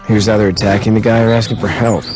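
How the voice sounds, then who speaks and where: A low-voiced man playing a young farmhand in a game drama. He comes across as plainspoken and earnest, friendly with an uneasy undertone. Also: little pitch movement, racing, music in the background, close to an everyday voice. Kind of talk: naturalistic video game acting.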